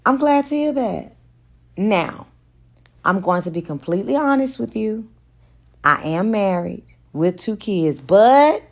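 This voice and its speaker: Woman reading as a smooth, flirtatious married businessman. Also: mid-range voice, lively intonation, a little slow, pitched above the performer's own voice. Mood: earnest, forward. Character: flirtatious, confident married businessman in a romance novel.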